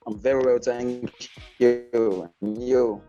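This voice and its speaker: A man's voice: mid-range voice, steady tone, slow pace, lo-fi sound, music in the background. A man with a Cameroonian accent, a podcast guest telling his personal story conversationally.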